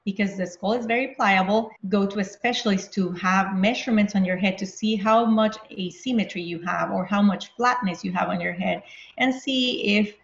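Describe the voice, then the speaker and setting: A woman pediatrician from Venezuela, in her forties, calmly explaining baby care in an interview. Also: medium pitch, moderate pace, creaky. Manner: calm, explanatory, plain speaking. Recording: roomy, slightly dull sound.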